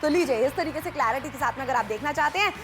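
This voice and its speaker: Quick high-voiced female TV shopping host. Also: animated. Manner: chatty, urgent, agreeing with her co-host. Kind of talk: teleshopping sales pitch. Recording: studio with background music.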